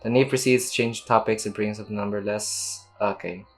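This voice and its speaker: A woman's voice: very deep voice, steady tone, moderate pace, soft background music. A woman streamer giving casual reaction commentary while playing a video game. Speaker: gameplay streamer.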